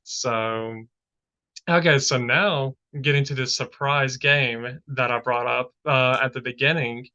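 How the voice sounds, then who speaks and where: A man hosts a relaxed podcast chat, his voice bright and forward. He rambles loosely and leans on filler words as he talks. The audio sounds dull and lo-fi. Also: medium pitch, takes it slowly. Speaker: podcast host. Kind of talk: casual chat.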